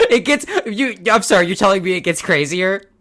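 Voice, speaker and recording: A podcast co-host chatting casually about fandom, amused and quick to react. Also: mid-range voice, huge pitch swings, fairly bright tone. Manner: amused, reactive, laughs readily.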